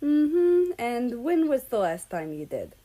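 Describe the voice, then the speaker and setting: A woman voicing an easygoing, teasing male travel companion in a story reading, relaxed and thoughtful in manner. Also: fairly high pitch, slow pace, voice pushed up, heavily acted, rough recording.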